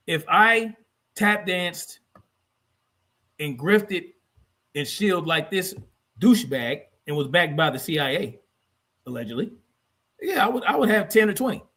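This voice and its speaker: Animated, blunt man on a livestream. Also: a little high. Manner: blunt, profane, repeats phrases for emphasis. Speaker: livestream host. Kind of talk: combative livestream commentary reacting to clips and chat.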